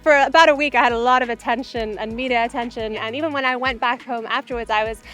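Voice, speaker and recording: A woman's voice: fairly high pitch, fast talker. Young woman rower, light raised voice. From Uganda. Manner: relaxed and reflective, with casual fillers. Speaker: Olympic rower. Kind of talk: documentary interview.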